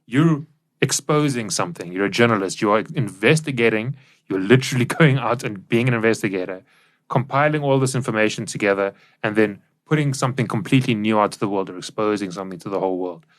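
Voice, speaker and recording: A man with a lowish voice speaks as a podcast co-host. He explains his subject in a conversational, discussion style. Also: fast talker.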